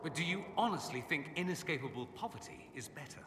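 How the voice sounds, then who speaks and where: An actor playing an urbane, witty, self-assured aristocrat mage in a video game, articulate, theatrical and sardonic. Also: male voice, fairly high pitch, expressive, fast talker, close to an everyday voice. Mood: earnest, defensive. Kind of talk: video game dialogue acting. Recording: echoey hall with ambient noise.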